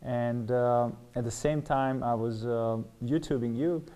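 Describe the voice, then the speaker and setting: Male interview guest, middle-aged, Serbian accent, dark relaxed mid-range voice, hesitant storytelling. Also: medium pitch, measured pace. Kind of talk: personal testimony in interview.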